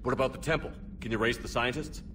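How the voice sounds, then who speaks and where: An actor playing a decisive, hardened military commander who speaks curtly. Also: male voice, medium pitch, fast, noisy background, close to an everyday voice. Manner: curt, commanding. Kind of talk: video game cutscene acting.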